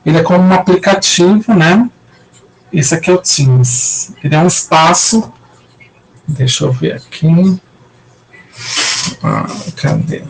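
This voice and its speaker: Young São Paulo teacher, high-pitched, rambling online lecture, recovering from a cold. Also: male voice, a little slow, gravelly, pauses often, low-quality recording. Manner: rambling, many fillers and restarts.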